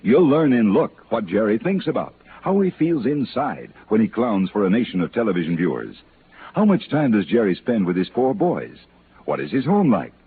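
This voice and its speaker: A man's voice: fairly low pitch, big pitch swings, moderate pace, a little hiss or hum. A man working as a radio announcer, reading scripted narration and ads in a 1950s style. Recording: tinny old radio broadcast with music.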